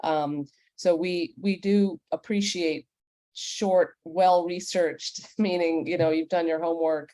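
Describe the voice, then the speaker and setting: A woman's voice: on the low side, fairly even intonation, takes it slowly, mellow, no echo. A woman moderating a panel discussion, giving plain-spoken advice in a conversational way and putting questions to the panelists. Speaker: panel moderator and podcast executive.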